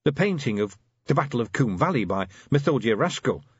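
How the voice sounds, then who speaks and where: A man voicing a timid, haunted young male dwarf who speaks in a small halting voice. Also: mid-range voice, big pitch swings, slightly rough, no room sound, dull audio, voice pushed up. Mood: subdued.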